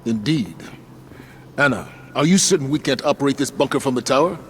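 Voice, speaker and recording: An actor playing a grave, stern male military commander who speaks in a measured, authoritative way. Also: mid-range voice, expressive, a little hiss or hum, lightly acted.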